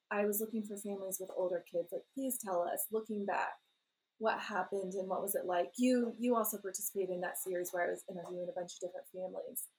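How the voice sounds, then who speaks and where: A woman's voice: medium pitch, rough recording. A woman in her thirties, a parent and blogger, talking in a rambling, self-deprecating way and laughing often. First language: English. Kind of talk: relaxed conversational interview.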